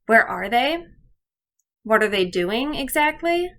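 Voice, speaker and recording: A woman's voice: a little high, very animated, takes it slowly, fairly bright tone. A woman speaking as an elementary teacher, giving children step-by-step instructions in a video lesson. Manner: clear step-by-step instructing, addressing pupils directly.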